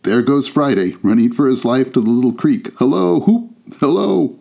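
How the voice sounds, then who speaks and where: Guy doing an old man from a storybook, all worked up and earnest like a kid, mellow voice. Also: sits low, moderate pace. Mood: excited. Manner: exclaiming, earnest, emotional. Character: old man Scrooge, excitable, childlike, tearful with delight. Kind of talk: audiobook character voice.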